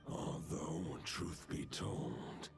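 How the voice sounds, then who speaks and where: Solemn ancient wolf king, very deep, gravelly, slow archaic speech, loud game music underneath. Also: heavily acted. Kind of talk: game dub acting.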